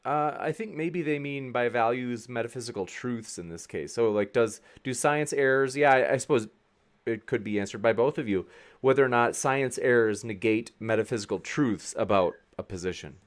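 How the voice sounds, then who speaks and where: A man's voice: medium pitch, normal speed. Casual male co-moderator. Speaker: debate co-moderator.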